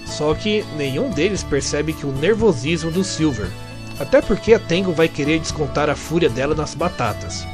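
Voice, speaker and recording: A man's voice: on the high side, expressive, normal speed, over music. A Brazilian man narrating a comic-story recap in a conversational, chatty way with amused asides. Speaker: narrator and fan translator of comic stories.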